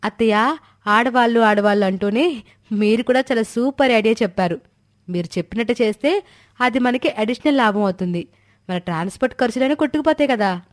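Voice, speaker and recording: A woman's voice: on the high side, a little fast, natural voice. A woman playing a young village daughter-in-law in a storybook drama, emphatic, confident and persuasive. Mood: eager, assured. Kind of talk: dramatized Telugu moral story dialogue.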